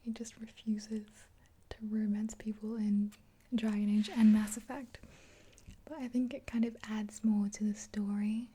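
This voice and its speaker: A woman in her twenties whispering softly and gently in a rambling, casual ASMR roleplay, close to the mic. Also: medium pitch, little pitch movement, a little slow, a little hiss or hum. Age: 20s. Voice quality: breathy whisper. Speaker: ASMR roleplayer as makeup artist.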